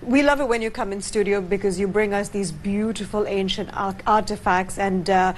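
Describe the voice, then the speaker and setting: A woman in her thirties presenting a morning show, with polished, bright delivery. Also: mid-range voice, fairly even intonation, normal speed, a little hiss or hum. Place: TV studio set.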